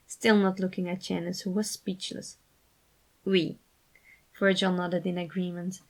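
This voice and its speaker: Creaky-voiced woman narrating a story. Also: medium pitch, low-quality recording. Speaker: narrator and amateur author.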